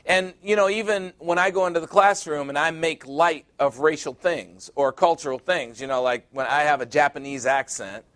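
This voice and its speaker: A man in his sixties preaching a sermon, emphatic and repetitive, building to loud peaks. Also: a little high, fairly even intonation, moderate pace, hardly any pauses. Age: 60s.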